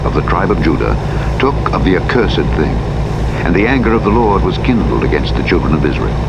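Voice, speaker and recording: A man narrating the Bible in a solemn, measured, formal audiobook style. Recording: loud vehicle engine noise throughout. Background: rumbling truck engine from a driving simulator.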